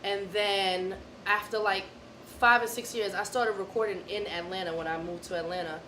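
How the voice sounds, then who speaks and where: Female American interview guest, young adult, casual slangy talk, medium pitch, roomy sound. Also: steady tone, moderate pace, bright, forceful tone. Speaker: musician being interviewed. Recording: echoey, noisy room.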